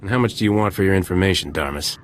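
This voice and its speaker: An actor playing a cocky, tough starship smuggler captain in a video game, with a dry, brash, laconic delivery. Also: male voice, low voice, rapid-fire, slight background noise, close to an everyday voice.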